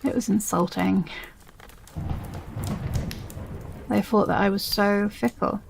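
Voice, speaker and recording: A woman with a British accent playing an obsessive, possessive, unstable stepsister in a dramatic audio roleplay, speaking haltingly with heavy pauses. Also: mid-range voice, fairly even intonation, creaky, background noise. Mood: bitter, brooding.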